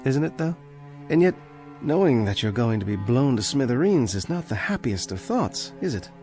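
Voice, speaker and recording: A man's voice: medium pitch, very animated, normal speed, mellow, faint music behind. An actor playing a condemned, bookish male librarian in a radio drama, precise, pedantic and dryly ironic. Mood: quietly triumphant.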